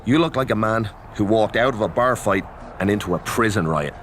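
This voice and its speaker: Tough-love wrestling pal, low voice, blunt, goading, game cutscene. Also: male voice, animated, a little fast, fairly bright tone, slight background noise. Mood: blunt, mocking. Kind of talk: video game cutscene acting.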